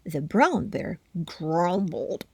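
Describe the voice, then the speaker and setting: A woman narrating a children's story aloud expressively, with deliberate phrasing and hushed, breathy word endings. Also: lowish voice, very animated, no echo. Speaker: storybook reader.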